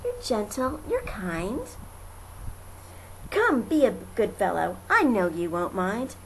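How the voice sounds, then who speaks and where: A woman's voice: medium pitch, big pitch swings, slow. A woman voicing a lazy, carefree female storybook bird who wheedles and sweet-talks. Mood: coaxing. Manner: coaxing, sweet-talking. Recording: noisy amateur home recording.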